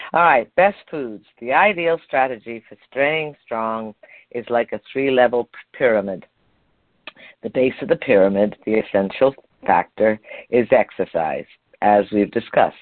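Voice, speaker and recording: A man's voice: medium pitch, no room sound. An older man from Canada hosting a health talk, reading aloud from an article and stumbling over hard words. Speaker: program host. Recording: tinny phone line.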